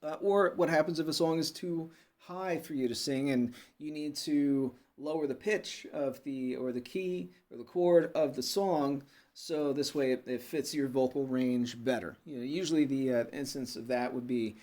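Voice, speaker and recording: A man teaching a relaxed music lesson, explaining step by step with many pauses and checking in with "okay?" as he goes. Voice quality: fairly low voice. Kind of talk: unscripted video lesson.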